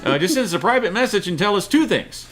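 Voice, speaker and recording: A man co-hosting an online show, chatting casually and off the cuff in a jokey, animated way. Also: sits high, quick pace. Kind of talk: casual unscripted discussion.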